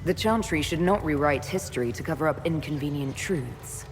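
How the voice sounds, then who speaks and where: A woman's voice: low-pitched, expressive, normal speed. An actress playing a serious, principled female warrior in a video game, direct and earnest in her speech. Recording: reverberant space, faint ambient noise.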